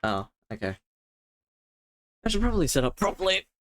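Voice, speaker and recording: A man's voice: sits high, big pitch swings, moderate pace, fairly bright tone. A man giving casual live gameplay commentary as a streamer, rambling and thinking aloud. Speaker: game streamer.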